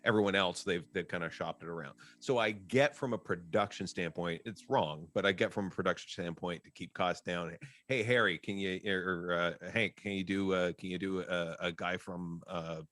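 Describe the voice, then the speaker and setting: Deep-voiced man, dry and close. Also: a bit bright, no room sound. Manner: measured, analytical, with fillers. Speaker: podcast co-host. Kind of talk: casual chat.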